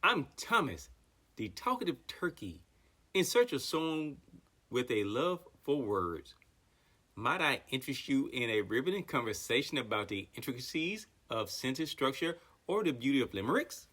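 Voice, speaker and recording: A woman's voice: extremely low pitch, sing-song, normal speed, fairly bright tone, husky, pitched below the performer's own voice, heavily acted. A woman voicing a talkative, pompous male turkey in a storybook, speaking in a grand, formal, long-winded way. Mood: grandly formal. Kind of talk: storybook character voice.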